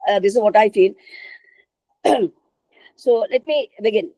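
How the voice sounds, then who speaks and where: Woman lecturer, deliberate delivery, dry close sound. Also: mid-range voice, mellow, dry recording, poor audio. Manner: measured, repeats phrases for emphasis. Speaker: literature lecturer. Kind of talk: academic lecture.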